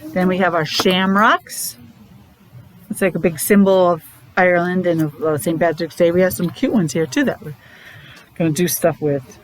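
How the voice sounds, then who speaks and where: A woman's voice: lowish voice, steady tone, a little hiss or hum, poor audio. A woman preschool teacher leading a counting lesson for young children, encouraging and asking lots of questions. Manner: encouraging, asks questions with pauses.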